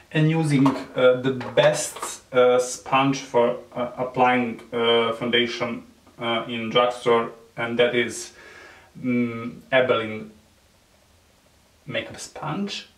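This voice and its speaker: A man with a Serbian accent, whose first language is Serbian, gives a makeup tutorial in halting English with many fillers and soft nervous laughs. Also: medium pitch. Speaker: beauty vlogger. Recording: echoey room, mic at a distance.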